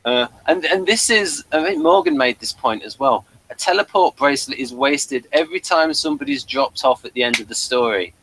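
A man's voice: mid-range voice, expressive, a little fast, hardly any pauses, fairly bright tone, a little hiss or hum, poor audio. A man chatting casually as he co-hosts a review podcast. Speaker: podcast co-host.

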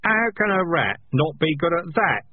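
A blunt, barking rat drill sergeant, put on by a man in a storybook reading, with a husky, expressive delivery. The sound is thin and phone-like. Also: a little high, moderate pace, pitched above the performer's own voice. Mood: commanding. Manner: gruff, commanding.

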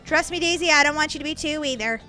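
A woman voicing an excitable big sister girl in a children's toy-play story, with exaggerated, exclaiming, chatty delivery. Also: high-pitched, quick pace, faint music behind. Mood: weary, playful.

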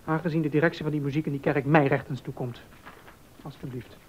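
A high-pitched actor's voice as an indignant male musician in a period docudrama, formally insisting on his rights. The recording is dull and lo-fi. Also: steady tone, fast talker, a little hiss or hum, natural voice. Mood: formal, insistent. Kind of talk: Dutch docudrama acting.

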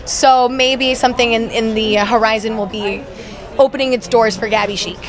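A woman's voice: fairly high pitch, steady tone, normal speed, rough recording. A young woman from Miami, an actress being interviewed at an event, talking earnestly with many restarts into a handheld mic. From Miami, USA. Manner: earnest, frequent restarts and fillers. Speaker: actress guest. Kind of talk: casual interview chat. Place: event backdrop, crowded venue. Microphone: handheld mic held by interviewer. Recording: crowd chatter behind.

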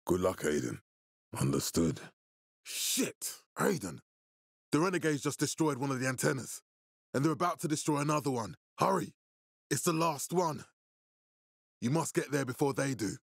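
An actor playing a stern, middle-aged military leader in a post-apocalyptic video game, speaking with formal, deliberate authority in a husky, gravelly voice. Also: male voice, mid-range voice, expressive, no echo, clean studio sound. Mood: urgent. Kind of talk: video game character dialogue.

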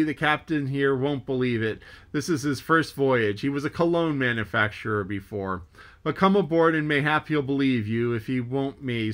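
A man reading an audiobook, voicing a surly, fed-up male ship's mate who answers gruffly and complains. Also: medium pitch, a bit bright, lightly acted.

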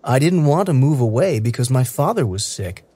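A man reading short first-person lines slowly and clearly for English learners. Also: medium pitch, sing-song, mellow, dry recording. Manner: clear, careful, evenly paced delivery. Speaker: English listening practice reader. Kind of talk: slow scripted reading for learners.

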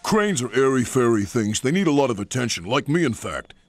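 A man's voice: medium pitch, very animated, brisk, faint music behind. A man voicing a pompous, self-important big male steam engine in a children's story.

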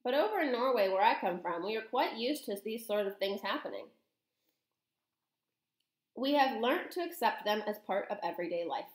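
A woman voicing a calm, shrewd old Norwegian grandmother in a storybook, matter-of-fact and slightly mischievous. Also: on the high side, normal speed, echoey room, natural voice.

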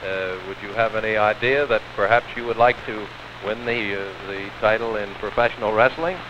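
Male American TV sports interviewer, 1950s, middle-aged, deliberate delivery, muffled hissy recording. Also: lowish voice, fairly even intonation. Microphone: handheld mic. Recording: dull, hissy old 16mm film sound.

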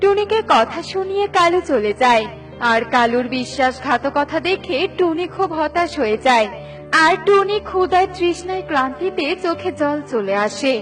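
A woman's voice: high-pitched, expressive, normal speed, a bit bright, faint music behind. A woman narrator reading a scripted children's story.

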